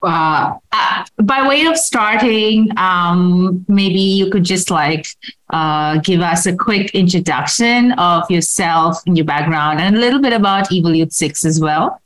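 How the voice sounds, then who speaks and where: A woman's voice: fairly low pitch, unhurried, fairly bright tone. A woman hosting a podcast who reads a formal introduction and asks questions with hesitant fillers. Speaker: podcast host. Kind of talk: scripted introduction then casual questions.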